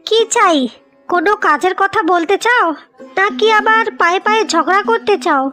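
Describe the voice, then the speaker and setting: A cartoon young wife played by a woman with a very high voice. She is breezy, vain and defiant, always answering back, over a music bed. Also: normal speed, sharp tone. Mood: defiant, indignant. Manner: defiant, retorting. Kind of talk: cartoon voice acting.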